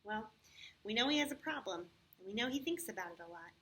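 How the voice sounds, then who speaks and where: A woman hosting a story time for young children, talking in simple, patient, expressive phrases with frequent questions. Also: mid-range voice, fast. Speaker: children's church story host.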